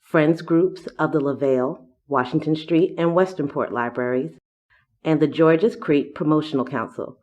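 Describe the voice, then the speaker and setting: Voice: medium pitch, normal speed, a little mellow, muffled recording. A person reading scripted verse and announcements aloud. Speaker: poem reader and announcer.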